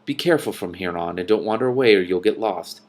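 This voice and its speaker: A man voicing a calm, matter-of-fact female dragon in a storybook, composed and explanatory. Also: low-pitched, fast.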